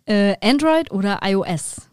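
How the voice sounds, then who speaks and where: A woman's voice: mid-range voice, lively intonation, moderate pace, a little mellow, slight background noise. A woman hosting a podcast, welcoming her guest and asking questions in an upbeat way. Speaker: podcast host.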